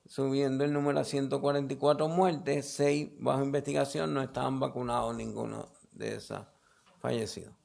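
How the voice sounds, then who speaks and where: Gravelly Puerto Rican official, halting delivery. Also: male voice, medium pitch, fairly even intonation, darkish tone. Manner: halting, repeats words mid-sentence. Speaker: health official giving a press briefing. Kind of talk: press briefing answers, semi-formal.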